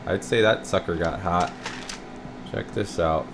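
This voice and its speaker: Low-voiced man, casual repair walkthrough. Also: unhurried. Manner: folksy, informal, addressing viewers as guys. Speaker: radio repair technician. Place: indoor radio repair workshop. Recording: clear steady room noise.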